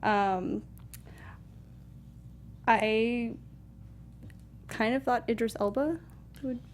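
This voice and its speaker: A woman's voice: on the high side, drawn-out words, slight background noise. A woman co-hosting a casual discussion show, chatting with a giggly manner. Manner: giggly, laughs often while talking. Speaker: co-host of a movie discussion show. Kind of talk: casual chat.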